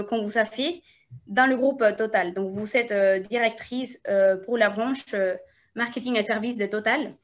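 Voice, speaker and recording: A woman interviewer at a live event, reading out a guest's career biography with frequent hesitations. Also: a little high. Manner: halting, frequent hesitation fillers.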